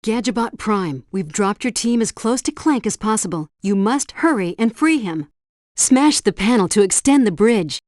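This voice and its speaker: A woman plays a helpful female helpdesk guide in a video game. She delivers scripted tutorial instructions with precise, instructive diction at a medium pitch. The sound is close and dry. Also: expressive, moderate pace, darkish tone, dry recording, lightly acted.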